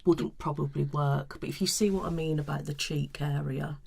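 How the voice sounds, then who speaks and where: A woman speaking softly and breathily in ASMR style, often slipping into a whisper, musing tentatively as she assesses things as an analyst. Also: low-pitched, steady tone, vocal fry, husky. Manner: tentative, musing, unhurried appraisal. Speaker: ASMR colour analyst with a client. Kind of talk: soft-spoken ASMR, partly whispered.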